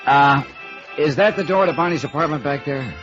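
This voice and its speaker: A man's voice: a little high, moderate pace, lightly acted. An actor playing a wry, easygoing male insurance investigator in a 1950s radio noir drama, bantering and narrating in a hard-boiled way. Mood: casual, probing. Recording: dull vintage radio recording with music.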